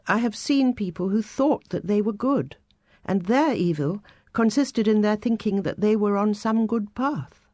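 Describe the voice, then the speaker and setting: Woman acting out an anguished scholar, raised pitch. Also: warm tone, no room sound, clean studio sound. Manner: declamatory, formal, agitated. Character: anguished, overwhelmed male scholar seeing hidden thoughts. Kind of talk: audiobook storytelling.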